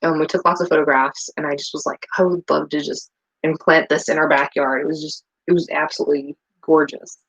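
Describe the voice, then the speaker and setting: A woman's voice: deep voice, flat delivery, a little fast, a bit bright, slightly rough, highs cut off. A middle-aged woman from New England chatting casually in her home kitchen while she makes soap, rambling with lots of fillers. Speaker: soap maker and vlogger.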